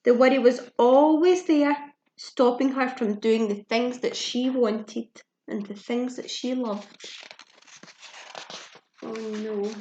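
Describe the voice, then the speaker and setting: High-voiced woman reading to young children, echoey. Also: takes it slowly, highs cut off. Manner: expressive, simple child-directed speech with audible gasps and questions. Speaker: teacher reading a picture book to young children. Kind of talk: children's storybook read-aloud with asides to listeners. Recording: echoey room sound.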